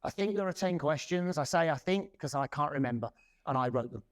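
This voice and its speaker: A man in his forties giving a loud, lively presentation to a conference audience. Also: on the high side, brisk. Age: 40s. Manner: loud, lively, self-deprecating. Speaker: conference speaker. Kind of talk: presentation talk. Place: conference room.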